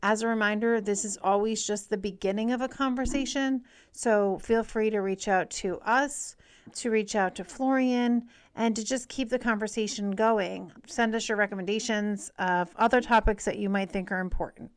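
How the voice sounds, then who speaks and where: A woman hosting a webinar, reading a prepared introduction in a measured way over a call. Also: medium pitch, fairly even intonation, clear, resonant tone. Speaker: webinar host. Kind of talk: scripted introduction.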